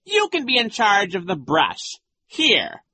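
Scratchy, shrill sneering villain coach. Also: male voice, huge pitch swings, pushed and bright, no echo, exaggerated voice. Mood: bossy, menacing. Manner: condescending, commanding, drawn-out words. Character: menacing, sneering, impatient male villain posing as gym coach.

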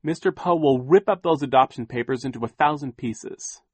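A man's voice: mid-range voice, normal speed, slightly rough, no echo, pitched above the performer's own voice, close to an everyday voice. A man voicing a fierce, defiant teenage girl in a storybook reading.

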